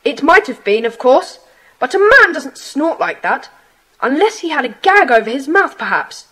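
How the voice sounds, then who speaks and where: Animated, high-voiced British schoolboy. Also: female voice, normal speed, rough recording. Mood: earnest, intrigued. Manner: animated, earnest recounting. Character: earnest, adventurous schoolboy telling his friends things. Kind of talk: children's audio drama acting.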